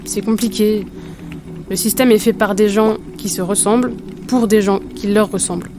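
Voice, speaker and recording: A woman's voice: mid-range voice, fairly even intonation, moderate pace, music in the background, natural voice. A woman acting as a discouraged young runner in a fiction podcast, speaking in a frank, colloquial and earnest way.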